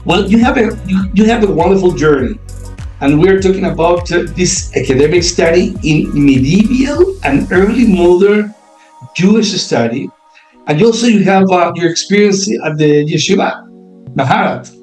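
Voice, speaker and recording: A man hosts a podcast interview, speaking in a high, light voice. He talks haltingly with many fillers and restarts. The room rings with echo, and faint music sits underneath. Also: expressive, a little mellow. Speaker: podcast host. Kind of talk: casual interview.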